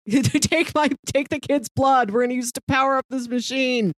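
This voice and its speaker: A woman's voice: high voice, moderate pace, dry recording. A woman co-hosting a casual, conversational discussion show. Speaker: podcast co-host.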